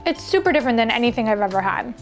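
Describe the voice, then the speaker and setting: A woman's voice: fairly high pitch, expressive, very fast, faint music behind. A woman hosting a cooking show, chatting casually and exclaiming as she cooks and tastes in a kitchen. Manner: exclamatory, conversational. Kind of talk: casual food vlog chat. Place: indoor kitchen.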